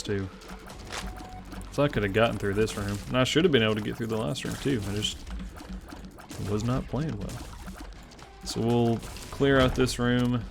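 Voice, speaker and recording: Man, 20s, Southern Tennessee accent, low voice, gravelly, casual gameplay commentary. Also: a little hiss or hum. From Memphis, Tennessee. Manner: rambling, self-deprecating, chatty. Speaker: gaming channel host.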